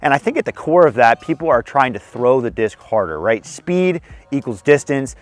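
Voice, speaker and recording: Slightly hoarse young man, casual outdoor vlog. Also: mid-range voice, expressive, moderate pace. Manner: friendly, self-deprecating, explanatory. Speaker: disc golf video host. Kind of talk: casual tips vlog filmed on the course. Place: outdoors on a foggy disc golf course.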